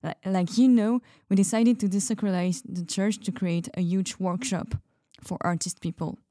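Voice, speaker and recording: A woman playing a dreamy, hesitant artist in a radio drama, telling her story in a halting, musing, naturalistic way. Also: mid-range voice, fairly even intonation, warm tone, no echo, clean studio sound, natural voice. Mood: wondering, puzzled. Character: Emile, dreamy hesitant female artist from closed community.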